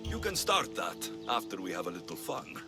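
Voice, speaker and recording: An actor playing a cocky, flirtatious Greek mercenary hero in video game cutscenes. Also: male voice, sits low, very animated, normal speed. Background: music.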